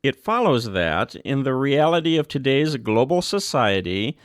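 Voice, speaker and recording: A man giving a scripted reading of a religious document, phrase by measured phrase, with deliberate emphasis. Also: medium pitch, sing-song, no echo, studio quality. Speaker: reader.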